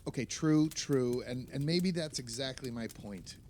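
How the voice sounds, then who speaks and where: A man's voice: medium pitch, unhurried, darkish tone, a little hiss or hum, close to an everyday voice. An actor playing a fretful, self-doubting older male novelist in a naturalistic audio play, hesitant and prone to rambling complaint. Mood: impassioned, bitter.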